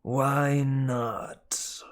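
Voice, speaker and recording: Talking male snake for a storybook, put on by a reader: bitter grumbler, raspy, very unhurried delivery. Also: mid-range voice, little pitch movement. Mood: grumbling, sarcastic.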